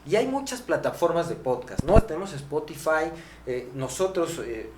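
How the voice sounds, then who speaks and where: A Mexican man hosting a podcast, explaining conversationally and haltingly, often tagging his phrases with a questioning word. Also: a little high, very animated. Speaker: podcast host. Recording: echoey room, clear background noise, hum.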